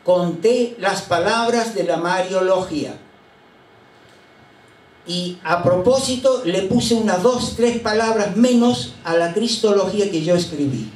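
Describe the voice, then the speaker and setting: An older man from Chile, preaching a sermon as a pastor and telling anecdotes in an unhurried way with frequent hesitations. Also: high voice, darkish tone. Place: church sanctuary. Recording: roomy church sound from a distant mic.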